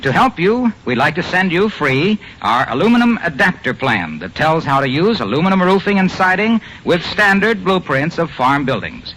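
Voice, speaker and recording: American guy doing old radio ad reads, bright punchy highish voice, bit of hiss. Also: very animated, normal speed, few pauses. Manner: polished, salesmanlike. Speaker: radio announcer. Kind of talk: 1950s radio advertising announcement. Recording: slightly noisy old radio recording.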